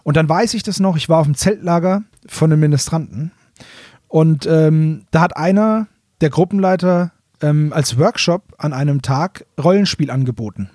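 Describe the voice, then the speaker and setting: Higher-voiced man chatting casually, close dry sound. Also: moderate pace, darkish tone, no room sound. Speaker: podcast co-host. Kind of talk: casual, conversational fan talk.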